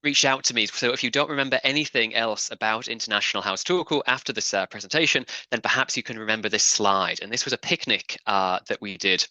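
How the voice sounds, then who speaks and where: A man's voice: on the low side, fast, hardly any pauses, pushed and bright, no room sound. A man from the UK with a British accent, presenting international resident services in a webinar, friendly and peppered with "kind of". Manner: friendly, with filler words like kind of. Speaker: international services staff member. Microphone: webinar call mic.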